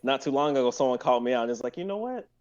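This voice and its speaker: A man's voice: mid-range voice, expressive, racing, lo-fi sound. A man chatting casually on a group live stream, explaining things in a rambling, explanatory way. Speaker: member of a writing and performing group.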